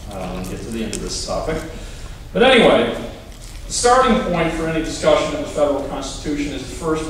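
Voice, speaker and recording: A man's voice: on the high side, distant mic, noisy background. Wry man lecturing in an echoey hall. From Hawaii. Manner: wry, deadpan humor. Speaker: speaker at a political rally. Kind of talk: lecture with dry jokes. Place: large hall before an audience.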